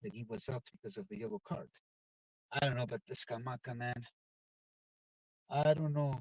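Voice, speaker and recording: A man hosting a casual live football chat from inside a car, talking loudly and excitedly and dropping Italian football phrases into his talk. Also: medium pitch, normal speed, creaky, no room sound. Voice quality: loud voice. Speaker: live-stream football show host. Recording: dull, distant, dry car recording.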